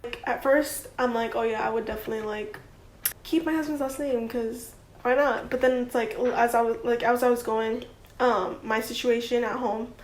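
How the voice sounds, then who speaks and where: A woman's voice: fairly high pitch, fairly even intonation, normal speed. A woman being interviewed, chatting casually and conversationally with lots of filler likes. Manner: uses "like" constantly, conversational. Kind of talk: casual chat. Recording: echoey room with faint noise.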